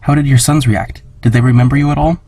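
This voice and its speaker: A man with a husky voice casually reading audience questions aloud for a comic dub. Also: medium pitch, steady tone, quick pace, dark tone, no room sound.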